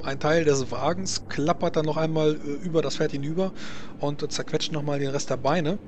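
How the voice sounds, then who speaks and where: A man improvising narration and rulings as game master of a tabletop roleplaying game, halting as he feels for words. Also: a little high. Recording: clearly noisy home voice recording.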